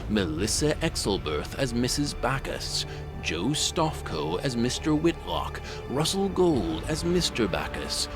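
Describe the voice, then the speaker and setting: A man narrating from a script as the announcer of an audio drama, reading formally. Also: medium pitch.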